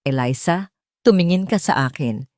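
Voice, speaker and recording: A woman with a Filipino accent voicing a determined, protective friend in an audio drama, calm but emphatic. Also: sits low, moderate pace, dry recording, clean studio sound. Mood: calm, firm.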